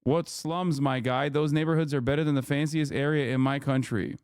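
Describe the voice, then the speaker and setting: A man's voice: a little high, fairly even intonation, moderate pace, darkish tone, smooth, dry recording, clean studio sound. A man streaming live, ranting to his chat in a heated, profane, rambling way.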